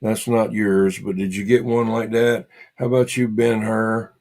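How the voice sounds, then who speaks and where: A man hosting a casual livestream, chatting with viewers in a halting, repetitive way and muttering asides to himself. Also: on the low side, level tone, a little mellow. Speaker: livestream host.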